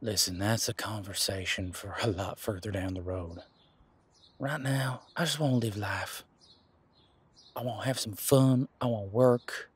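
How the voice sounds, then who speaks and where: Husky, easygoing werewolf guy, played by a man. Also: on the low side, measured pace. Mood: earnest, reassuring. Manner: informal, chatty, frank, mildly profane. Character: easygoing, protective male werewolf, imposing but responsible. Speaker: voice actor in audio roleplay. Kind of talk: casual conversational audio roleplay monologue.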